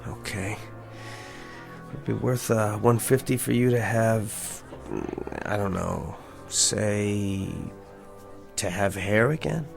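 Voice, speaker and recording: A man's voice: fairly low pitch, slow, creaky, faint music behind. An actor playing a cocky, sarcastic younger wise guy who talks in wisecracking street slang in a screen drama. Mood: scheming.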